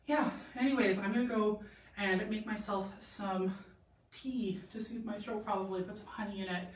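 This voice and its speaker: A chatty young woman from Canada rambles cheerfully through a clothing try-on, full of "like" and "um." Her throat is a little sore. She stands back from the camera, so her voice sounds distant and roomy. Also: mid-range voice. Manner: chatty, rambling, lots of "like" and "um" fillers. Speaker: boutique model and live-stream host. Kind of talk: casual live-stream try-on chat. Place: boutique room with a clothing rack. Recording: echoey room, voice from a distance.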